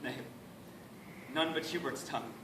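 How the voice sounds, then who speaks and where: Gentle young prince played by a man, fairly high voice, earnest verse pleading, distant and noisy stage recording. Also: unhurried, lightly acted. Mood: pleading, wounded. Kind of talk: amateur Shakespearean stage monologue. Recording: echoey, noisy room, distant microphone.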